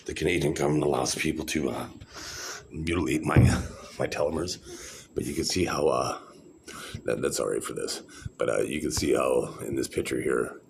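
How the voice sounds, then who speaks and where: Middle-aged man, extremely low voice, gravelly fry, rambling casual vlog talk, lots of fillers and restarts. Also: a little hiss or hum. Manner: meandering, many fillers and restarts. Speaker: vlogger showing his own videos. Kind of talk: rambling casual monologue to camera.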